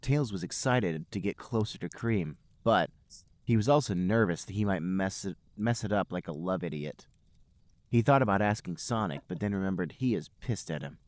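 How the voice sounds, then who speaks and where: A man's voice: deep voice, lively intonation, normal speed, no room sound. A man hosting a podcast, reading comic fan fiction aloud in a mock-earnest storybook manner. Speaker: podcast host and narrator.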